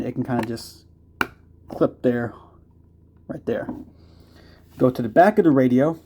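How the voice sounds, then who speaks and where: Mellow man giving a casual gadget review. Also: medium pitch. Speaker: product reviewer. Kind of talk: casual hands-on product review.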